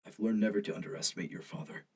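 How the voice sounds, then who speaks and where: A man's voice: lowish voice, fairly even intonation, racing, creaky, darkish tone, in a large hall. A man playing grave, commanding superheroes in a comic book drama, on a distant, noisy recording. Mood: reassuring. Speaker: fan dub voice actor.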